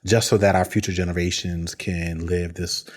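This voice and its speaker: A man in his thirties co-hosting a podcast, chatting easily and circling back on his thoughts with plenty of "like" and "you know." His voice sits a little low for a man, and he sounds unhurried. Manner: relaxed, conversational, many fillers and restarts. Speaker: podcast co-host. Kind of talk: casual podcast chat.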